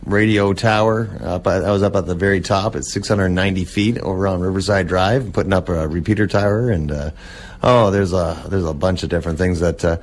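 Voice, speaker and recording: A man in his forties speaks in a low-pitched voice as a guest on an interview show. He reminisces casually, linking his thoughts loosely and rambling from one to the next. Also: a little fast. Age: 40s. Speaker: interview guest, old-time radio collector.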